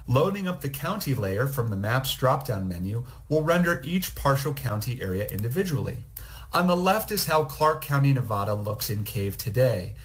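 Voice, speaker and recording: A man reading a scripted, recorded technical presentation in a measured, explanatory way. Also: mid-range voice. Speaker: program manager presenting.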